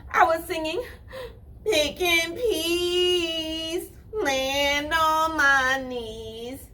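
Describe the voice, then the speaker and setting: A woman's voice: extremely slow, pushed and bright, heavily acted. A woman voicing a pesky, sly male storybook rabbit, whining and hesitant in a squeezed voice. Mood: squirming, whiny. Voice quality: squeezed, squeaky when caught.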